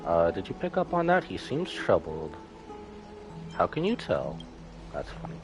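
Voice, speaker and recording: A man's voice: sits low, wildly swooping pitch, unhurried. A man live-streaming a story game, reading dialogue aloud and adding casual asides. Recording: music behind the voice.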